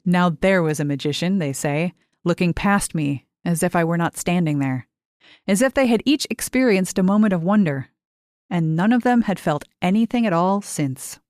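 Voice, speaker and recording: A woman's voice: lowish voice, animated, moderate pace, a little mellow, dry recording, clean studio sound. A woman reading literary first-person short fiction as an audiobook-style narrator.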